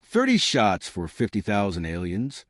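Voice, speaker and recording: Fierce little female starship captain, played by a male narrator. Cocky, wry, lively pitch, dry sound. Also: measured pace, no echo, full character voice. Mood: defiant, teasing. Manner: wry, confident, teasing.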